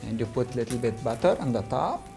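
A middle-aged man, a restaurant chef, explaining a recipe in halting full of pauses. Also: mid-range voice, sing-song, gravelly, over music. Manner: halting non-native English with many uhs. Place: studio kitchen set.

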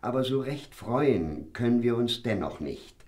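A man's voice: medium pitch, very animated, unhurried, darkish tone. An actor playing a solemn, grieving king with a stately, formal manner in a fairy-tale audio drama. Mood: solemn, sorrowful.

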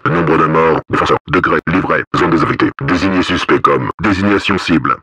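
Deep, harsh radio police officer. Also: male voice, a little fast, sharp tone, breathy edge, no room sound, exaggerated voice. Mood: terse. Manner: terse, commanding, coded military phrases. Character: stern, cold masked paramilitary police officer. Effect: radio filter. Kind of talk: clipped video game radio chatter.

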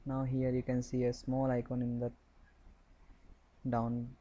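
A man giving an unscripted step-by-step software tutorial in a halting, repetitive, instructional way. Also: mid-range voice, monotone, muffled recording.

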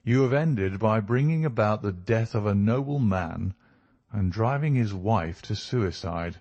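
Man playing a quiet, stern, deep-voiced detective. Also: lively intonation, dry recording, dull audio. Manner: quiet, measured, formal. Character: composed, authoritative male detective, stern and precise.

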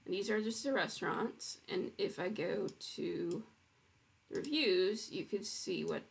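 Husky, gravelly woman explaining a web app demo. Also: medium pitch, animated, unhurried. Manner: casual, explanatory, with frequent ums and restarts. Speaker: web developer presenting her project. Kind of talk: screen-recorded software demo.

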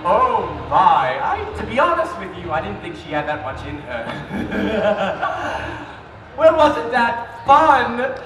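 A man's voice: high voice, sing-song, slow pace, background noise, heavily acted. A man playing a cackling sinister game-show host. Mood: triumphant. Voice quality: theatrical, sing-song, cackling. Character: sinister, gleeful male game-show host toying with contestants. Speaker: master of ceremonies at a live scare show. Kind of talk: live stage performance. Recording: echoey hall from far off, with loud music and crowd.

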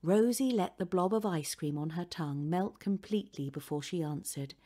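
A woman's voice: lowish voice, moderate pace, mellow. A woman reading a children's story as scripted audiobook narration.

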